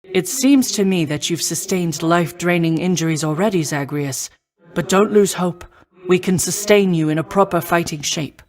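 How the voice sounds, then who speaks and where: A woman playing a noble, protective war goddess in a video game, speaking in formal, measured, old-fashioned phrasing. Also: fairly low pitch, natural voice. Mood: composed, encouraging. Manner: formal, measured, archaic stately diction. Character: noble female war goddess, poised, protective, confident mentor. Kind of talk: scripted video game voice acting.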